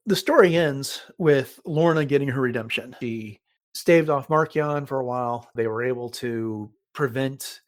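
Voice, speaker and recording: Man chatting casually as a podcast host. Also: mid-range voice. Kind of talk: casual discussion recapping and reviewing a story.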